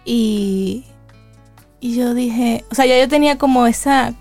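A woman with a Venezuelan accent answering as an interview guest, telling her story conversationally with reflective pauses. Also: fairly high pitch, darkish tone, soft background music. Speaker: interview guest, singer and violinist.

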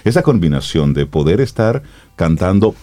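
A man's voice: sits low, big pitch swings, normal speed, warm tone, no echo. A man hosting a conversational interview in an admiring, encouraging way. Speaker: interview host.

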